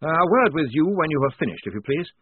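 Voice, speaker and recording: A man's voice: fairly high pitch, sing-song, quick pace, breathy edge, no room sound, pitched above the performer's own voice. A man reading an audiobook, voicing a nervous, fussy young officer who speaks politely and hesitantly. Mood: flustered. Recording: dry, tinny phone-like sound.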